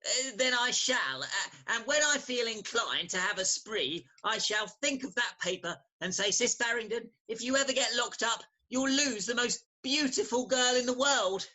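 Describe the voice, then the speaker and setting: An actor with an English accent playing a bumbling, hungover young gentleman in a theatrical farce, hesitant and stammering. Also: medium pitch, animated, moderate pace, few pauses, poor audio. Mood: earnest.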